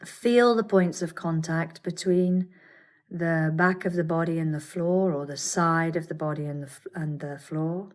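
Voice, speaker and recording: A woman teaching yoga nidra, guiding a meditation with soothing, measured instructions and gentle pauses. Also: deep voice. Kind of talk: guided meditation.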